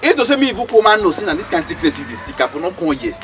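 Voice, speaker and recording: A man's voice: high-pitched, sing-song, rapid-fire, fairly bright tone. A man playing a hot-tempered, scolding friend in a drama, with a Nigerian accent and a blustering, streetwise manner. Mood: threatening, bossy. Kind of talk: comedy drama acting in Nigerian Pidgin.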